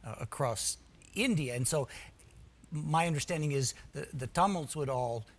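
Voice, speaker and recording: A man hosting an interview, asking long questions with a wry, gently teasing manner. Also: a little high, normal speed.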